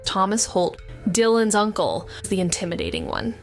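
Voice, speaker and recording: A woman's voice: mid-range voice, huge pitch swings, normal speed, faint music behind. A woman playing a wry, anxious, sweary amateur sleuth, narrating a noir audio drama in the first person. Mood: wry, tense.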